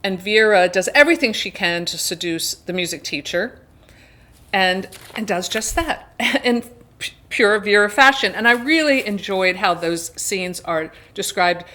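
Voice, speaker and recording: A woman's voice: mid-range voice, animated, a little slow, pushed and bright. A woman hosts a casual book discussion in a mid-range voice. She retells the story in a chatty, wryly amused way, putting emphasis on the words that matter. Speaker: book reviewer and video host.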